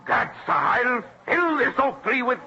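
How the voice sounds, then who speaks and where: Muffled noisy vintage radio recording, blustering tyrant governor, swooping highish voice, imperious orders. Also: male voice, big pitch swings, a little slow, pushed and bright, heavily acted. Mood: enraged. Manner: imperious, barking orders, sputtering. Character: blustering, tyrannical colonial English governor, easily enraged. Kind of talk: golden age radio drama acting.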